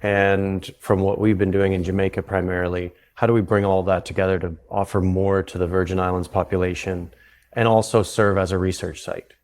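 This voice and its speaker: A man with a Canadian accent, a guest on an interview podcast, explaining his work in a relaxed way and often restarting his sentences. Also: deep voice, a little fast. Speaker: guest, psychedelic retreat founder. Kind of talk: conversational interview.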